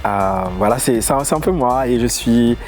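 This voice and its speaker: A young man from Paris, a dance guest in a casual interview who talks in a lively, playful way with quick asides. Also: mid-range voice. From Paris, of Congolese origin. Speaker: guest, voguing dancer and house leader. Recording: faint music underneath.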